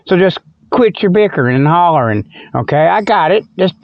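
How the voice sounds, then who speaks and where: A man's voice: high-pitched, expressive, brisk, no room sound, highs cut off. An older man hosting a comic radio segment, rambling casually in a folksy, repetitive, digressive way. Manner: folksy, drawling colloquial phrasing, repetitive and digressive.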